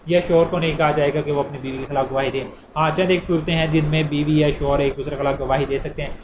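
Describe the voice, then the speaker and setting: A man with a Pakistani accent giving an explanatory law lecture, deliberate when reading out and conversational when explaining. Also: on the high side, noisy background.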